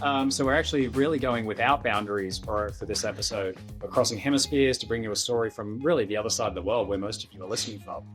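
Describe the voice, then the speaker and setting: A man's voice: on the low side, fast talker, few pauses, a bit bright, soft background music. A man from Sydney with an Australian accent, hosting a podcast interview in an informal, somewhat hesitant conversational way. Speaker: podcast host.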